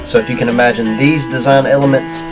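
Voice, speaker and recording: Male, 30s, stuffy and congested, laid-back build-channel host, middle-pitched, music bed behind. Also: fairly even intonation, fast talker, roomy sound, rough recording. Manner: easygoing, talks straight to "you guys". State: stuffy, congested from being sick. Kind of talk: casual voiceover to viewers.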